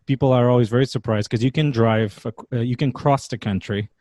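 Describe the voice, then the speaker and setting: A man's voice: medium pitch, fairly even intonation, mellow, no room sound, studio quality. A man hosting a podcast interview, friendly and joking. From Portugal. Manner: friendly, joking, quick talker. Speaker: podcast host. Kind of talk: casual interview.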